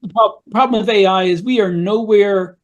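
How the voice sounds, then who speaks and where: A man's voice: high-pitched, moderate pace, muffled recording. A man co-hosting a podcast, explaining things in a casual, storytelling way as part of a panel discussion. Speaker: podcast co-host.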